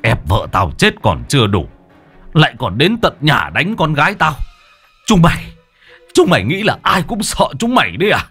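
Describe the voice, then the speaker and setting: An angry middle-aged father acted by a male narrator in an audio story. He is growling and confrontational, fiercely protective, with pitch rising sharply and music underneath. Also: huge pitch swings, normal speed, bright, forceful tone, breathy edge, heavily acted. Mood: growling anger. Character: angry middle-aged father and uncle defending their family.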